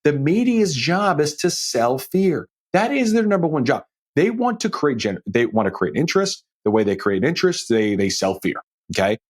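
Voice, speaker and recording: A man's voice: a little high, very animated, normal speed, husky. An American man hosting a solo financial podcast, talking emphatically and often checking in with his listeners. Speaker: podcast host.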